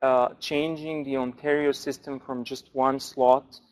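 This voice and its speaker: A man, a language researcher, answering questions at an academic forum in a thoughtful way with frequent pauses and restarts. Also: mid-range voice, flat delivery, darkish tone. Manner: thoughtful, many fillers and restarts.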